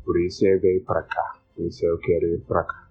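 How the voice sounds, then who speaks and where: Deep slow senegalese guy in his 20s, flat husky voice, dull recording w soft music under it. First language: not Portuguese. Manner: slow, simple learner's Portuguese with small slips. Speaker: interviewee. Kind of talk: recorded personal testimony.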